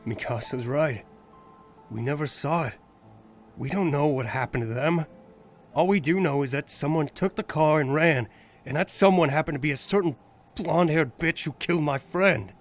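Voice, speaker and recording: A man's voice: on the high side, moderate pace, faint music behind, natural voice. A man acting a blunt, wounded young survivor in a zombie audio drama. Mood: bitter, suspicious.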